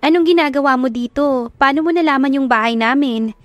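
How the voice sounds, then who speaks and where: A woman's voice: sits high, fairly quick, no echo, voice pushed up, lightly acted. A woman voicing a bashful, defensive young woman in an audio romance, flustered and protesting, with a Filipino accent.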